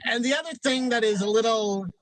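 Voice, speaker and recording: Male co-host, high pitch, hesitant, halting, mild-mannered, casual chat, dry sound. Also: a bit bright, dry recording, low-quality recording. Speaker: show co-host and producer, drag performer.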